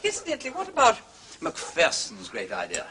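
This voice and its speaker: Animated, urbane gentleman sleuth. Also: a little high, fairly quick, a little hiss or hum, heavily acted. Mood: urbane, unsurprised. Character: urbane, breezy gentleman investigator. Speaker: actor. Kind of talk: broadcast period mystery drama acting.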